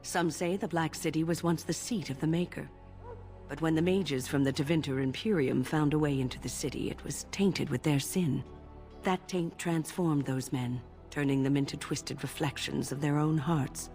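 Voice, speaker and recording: A kindly, wise older mage from a fantasy game. Her voice sits low and she explains things in a measured, composed way. Soft music plays faintly underneath. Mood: grave, instructive. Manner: measured, gently instructive. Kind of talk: fantasy video game dialogue acting.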